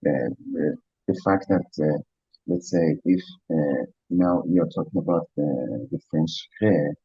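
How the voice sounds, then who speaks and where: A man with a Persian accent and a low, husky voice answers questions after a lecture. He talks hesitantly, with many fillers. The sound is dry and dull, like lo-fi lecture audio. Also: slow pace, mellow, no room sound. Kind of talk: academic Q&A answer.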